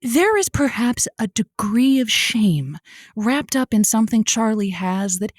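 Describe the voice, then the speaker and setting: A woman's voice: fairly high pitch, sing-song, a little slow, dry recording, studio quality. A woman playing a quirky, soothing radio advice host in a comedic audio drama, earnest and playful in her delivery. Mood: earnest, consoling.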